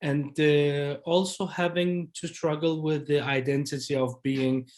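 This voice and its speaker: Hesitant man, somewhat high voice. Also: little pitch movement, a little slow, a little mellow. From Iraq. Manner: hesitant, with fillers and restarts. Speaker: documentary director. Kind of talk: panel talk answering questions.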